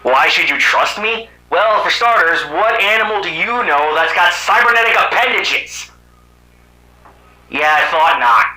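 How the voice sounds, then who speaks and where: A man voicing a sardonic cyborg animal hero from another planet in an amateur animated drama, with muttered asides and exclamations. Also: sits high, measured pace, exaggerated voice. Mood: insistent, earnest. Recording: roomy, echoing voice track.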